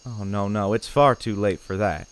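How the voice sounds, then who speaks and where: A man's voice: lowish voice, fairly even intonation, moderate pace, smooth, lightly acted. A man playing a troubled, plain-spoken farmer in an audio horror play, hesitant, folksy and understated. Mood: uneasy, hesitant. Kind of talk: audio horror drama acting. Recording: insect-like ambient noise behind dialogue.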